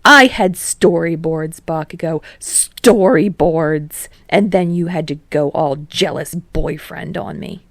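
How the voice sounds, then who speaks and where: Woman as indignant, dramatic young man, creaky. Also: deep voice, slow, hardly any pauses, dry recording, heavily acted. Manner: emphatic, complaining.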